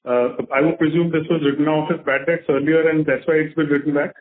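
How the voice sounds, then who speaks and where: A man's voice: fairly high pitch, steady tone, brisk. A man calling in to put a formal investor question on a conference call. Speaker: investor caller asking questions. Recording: echoey narrow phone line.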